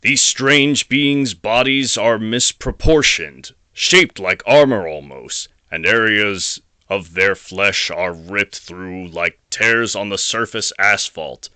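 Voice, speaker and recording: Deep, forceful male story narrator. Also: slow, few pauses, dry recording. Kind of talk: scripted dramatic story narration.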